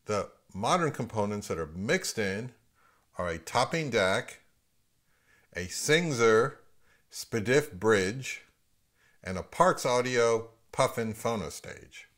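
A man's voice: on the low side, lively intonation, slow, fairly bright tone. A man in his fifties with an American accent, talking casually as the host of a vlog. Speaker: host of a hi-fi audio channel.

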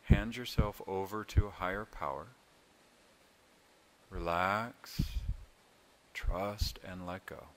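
A man leading a guided meditation as a yoga instructor, speaking in a calm, soothing, unhurried way with long pauses. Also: low voice, rough recording.

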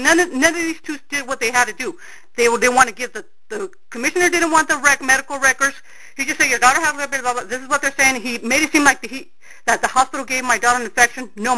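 A middle-aged woman on a phone call who talks loudly and insistently, repeating herself again and again. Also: a little high. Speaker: caller.

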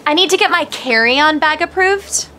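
A woman's voice: high-pitched, expressive, moderate pace, pushed and bright, a little hiss or hum. An actress playing a fussy, earnest young woman passenger worried about her expensive bag. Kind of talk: scripted comedy sketch acting.

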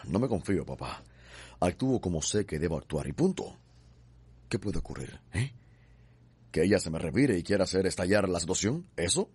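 A husky Cuban schemer, brusque and defiant, in a radio drama. His low voice swings widely as he waves objections away, and the sound is close and dry. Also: sing-song, normal speed, long pauses, darkish tone, no echo, full character voice. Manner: brusque, dismissive. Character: arrogant, defiant, scheming grown son.

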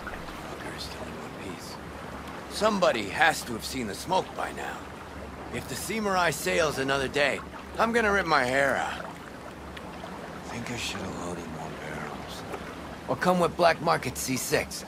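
A man's voice: mid-range voice, sing-song, measured pace, vocal fry, long pauses, heavy background noise. A man playing a brash, hedonistic middle-aged rock star in a video game, swaggering, playful and profane. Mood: wry, intimate.

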